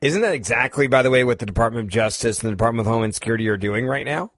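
A man's voice: a bit bright, dry recording. Emphatic male talk radio host, dry studio sound. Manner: emphatic, quick, pushes his points with rising pitch. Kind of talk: talk radio commentary and call-taking. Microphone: studio microphone.

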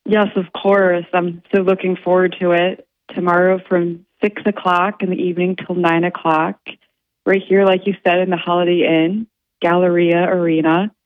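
A woman being interviewed as an artist on the radio, talking in a halting way with many fillers and restarts. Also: fairly low pitch, little pitch movement, no echo. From United States. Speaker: guest artist. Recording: dry, phone-like line.

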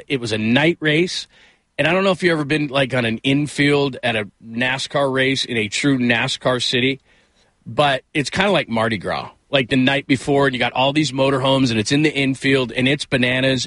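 A man's voice: mid-range voice, a bit bright, no echo, studio quality. A man hosting a casual sports talk radio show, rambling conversationally through stories with self-deprecating asides. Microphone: close studio microphone.

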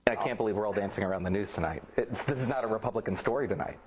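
A man's voice: on the low side, racing, a crowd in the background, low-quality recording. A man arguing a pointed political case on a cable news panel, heard in a played-back clip. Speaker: political commentator.

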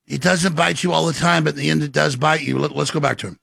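A man's voice: a little high, fairly even intonation, fast, gravelly, fairly bright tone, no echo, studio quality. A man hosting a political commentary show, ranting in a heated, sarcastic way. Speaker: host.

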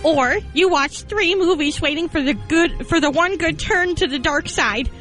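A woman's voice: sits high, moderate pace, fairly bright tone, faint music behind. A woman reading sarcastic, dismissive retorts in a scripted comedy debate.

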